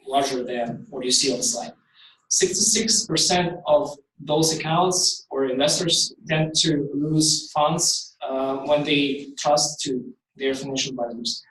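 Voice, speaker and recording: A man in his thirties from Ukraine, with a Ukrainian accent, giving a startup pitch in halting English full of ums and likes. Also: slight background noise. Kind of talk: live pitch presentation. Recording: very echoey hall, distant from the mic.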